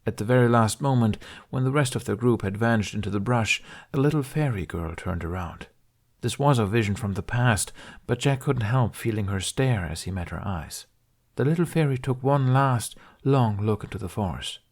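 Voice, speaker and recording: A man reading a fantasy story aloud in a calm, measured way. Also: medium pitch. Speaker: podfic reader. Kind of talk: storybook narration.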